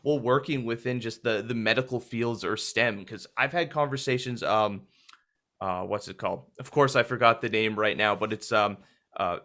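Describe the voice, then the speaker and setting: A man hosting a casual interview, asking questions with a hesitant manner and many pauses and restarts. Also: on the low side, bright, forceful tone. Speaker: interview host.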